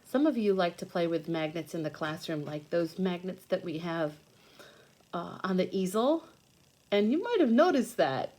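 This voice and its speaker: A woman's voice: fairly low pitch, sing-song, normal speed, a little mellow. A woman teacher reading aloud to young children, explaining things patiently in simple words with repetition and questions. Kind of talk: read-aloud lesson with explanatory asides for children.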